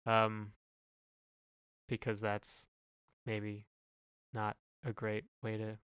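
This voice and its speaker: Crisp, bright-voiced man thinking aloud. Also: fairly low pitch, monotone, extremely slow, dry recording. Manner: hesitant, hedging, thinking aloud. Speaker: podcast co-host. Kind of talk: casual discussion of song lyrics.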